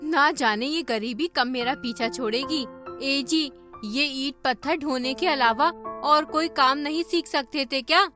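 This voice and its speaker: A woman's voice: sits high, unhurried, sharp tone, soft background music. A woman voicing an envious, complaining poor village wife in a cartoon story.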